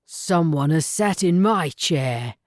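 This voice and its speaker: Big male bear, played by a woman, heavy growl, loud, indignant, swooping delivery, storybook style. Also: deep voice, animated, slow, dry recording, clean studio sound. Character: big gruff father bear, grumbling adult male. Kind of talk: children's storybook character voice.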